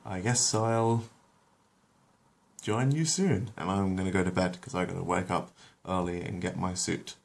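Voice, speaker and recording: A man narrating a retold cartoon episode like a bedtime story, then chatting with hesitant pauses. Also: deep voice, steady tone, vocal fry, a little hiss or hum. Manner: hesitant, with many pauses when off-script. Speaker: storyteller, channel host. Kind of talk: reading a retold episode aloud, then casual chat.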